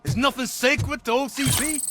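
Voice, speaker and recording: A man's voice: extremely high pitch, steady tone, normal speed, music in the background. A man voicing a disgruntled city local in a video game, emphatic and colloquial, with faint noise behind. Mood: indignant, grateful.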